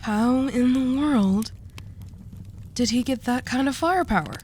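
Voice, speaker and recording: A woman playing a commanding, sharp-tongued mafia boss in an audio roleplay drama, dry, curt and wry. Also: high-pitched, measured pace, a little hiss or hum. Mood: hard, determined.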